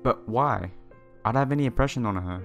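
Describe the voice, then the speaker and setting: A man reading visual novel text aloud as a let's player, adding his own asides in halting phrasing. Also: medium pitch. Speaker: video game let's player. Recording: music behind the voice. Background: game music.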